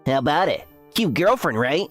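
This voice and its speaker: The smug campus underclassman who sneers at his seniors in an animated drama, low-voiced and swooping, acted by a woman, music underneath. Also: normal speed. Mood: gloating. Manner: sneering, condescending. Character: smug, mocking young man, a university underclassman. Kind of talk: anime-style story dub acting.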